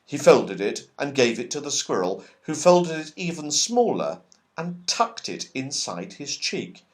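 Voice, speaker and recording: A man reading a children's story aloud as expressive, scripted narration. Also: mid-range voice, measured pace. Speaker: audiobook reader.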